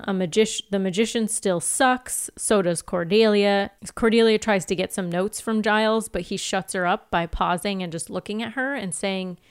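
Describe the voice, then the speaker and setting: A woman's voice: mid-range voice, clean tone. Female podcast co-host, clean resonant tone, chatty and informal recapping. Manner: informal, giggly, recaps from notes. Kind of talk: casual TV episode recap chat.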